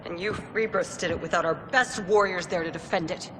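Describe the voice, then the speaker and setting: A woman's voice: fairly low pitch, fairly quick, noisy background, natural voice. An actress playing a proud, defiant captured warrior woman in a video game, speaking with haughty, formal contempt. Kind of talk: dramatic video game acting.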